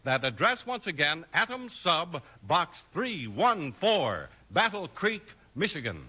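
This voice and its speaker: Bright, high-pitched man announcing on vintage radio. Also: takes it slowly, husky. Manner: emphatic, excited salesmanship.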